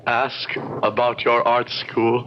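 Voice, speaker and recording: An actor playing an earnest sculptor in an old-time radio drama, courteous and halting, pleading with an unsettling fixation. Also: male voice, mid-range voice, monotone, natural voice. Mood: courteous, insistent. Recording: muffled, noisy old radio recording.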